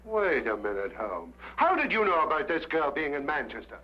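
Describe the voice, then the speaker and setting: A man's voice: on the high side, big pitch swings, moderate pace. A man playing a blustering, exasperated Victorian police inspector in a vintage detective drama, indignant and bossy, on an old recording. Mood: angry, suspicious. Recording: dull, echoey old broadcast recording.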